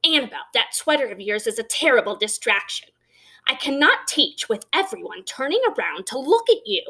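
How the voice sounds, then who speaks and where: A woman acts out a strict male schoolteacher from a storybook. The voice is pitched high and leaps about in exasperation. Lines come out as flustered exclamations. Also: sing-song, fairly quick, bright, forceful tone, exaggerated voice, low-quality recording. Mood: exasperated. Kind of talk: storybook read-aloud character voice.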